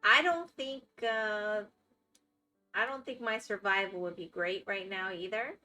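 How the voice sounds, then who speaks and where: A woman's voice: medium pitch, a little slow, sharp tone, soft background music. A woman with a Florida accent, chatting casually with viewers as a live streamer and reacting to their comments. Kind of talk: casual chat while gaming.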